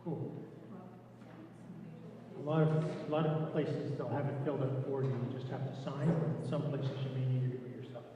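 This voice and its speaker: Soft-spoken man in an echoey hall. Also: medium pitch, normal speed, slight background noise. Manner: soft-spoken, relaxed, with frequent restarts. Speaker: lay reader trainer. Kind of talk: informal training talk. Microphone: room mic from a distance. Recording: echoey, distant, dull room recording.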